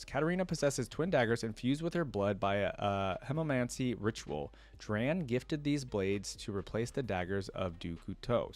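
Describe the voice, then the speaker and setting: A man hosting a reaction video, reading aloud and commenting informally, thinking out loud and talking straight to viewers. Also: lowish voice.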